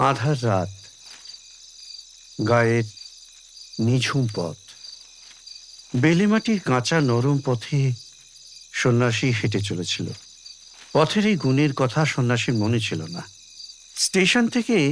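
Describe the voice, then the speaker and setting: A man reading literary fiction as dramatic audiobook narration, measured, with deliberate pauses between phrases. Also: mid-range voice. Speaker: story reader.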